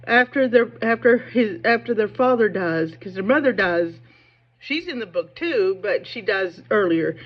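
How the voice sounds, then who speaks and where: A muffled, low-fidelity recording of a woman with a mid-range voice. She comments on literature casually and with strong opinions, wandering between points and checking in with the listener. Her speech has a slight impediment. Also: lively intonation. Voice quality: speech impediment, slows down on hard sh sounds. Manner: emphatic, digressive, conversational, asking "right?" and "okay?". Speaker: book commentator on own video channel.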